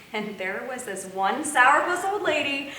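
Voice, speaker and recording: A woman's voice: sits high, sing-song, unhurried, pushed and bright, slight background noise. A woman delivering dry, teasing dialogue and a short story in a live staged reading. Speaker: stage actor. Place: theater stage. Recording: roomy live stage recording.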